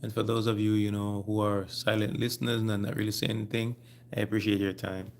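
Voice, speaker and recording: A man with a Jamaican accent hosting a casual live stream, speaking haltingly and repeating his phrases. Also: lowish voice, little pitch movement, a little hiss or hum. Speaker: livestream host.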